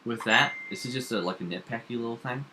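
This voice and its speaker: A man chatting casually, animated and opinionated, nitpicking in quick bursts. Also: medium pitch, a little hiss or hum. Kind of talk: casual chat.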